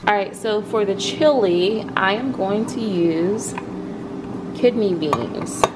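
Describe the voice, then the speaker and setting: A woman's voice: medium pitch, slow. A woman in her thirties chatting informally as she cooks for a home vlog, thinking aloud. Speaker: vlog host, home cook. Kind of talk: casual cooking vlog chat. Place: home kitchen, indoors. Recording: very noisy kitchen camera audio.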